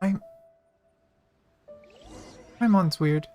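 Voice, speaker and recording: Lower-pitched woman streaming a game. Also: extremely slow, over music. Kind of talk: live game stream, reading story dialogue aloud and commenting casually.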